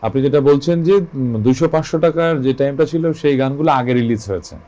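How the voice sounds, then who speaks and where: A male interview host with a Bangladeshi accent and a soft, mellow tone at a middling pitch. He is conversational and deliberate, building each question piece by piece.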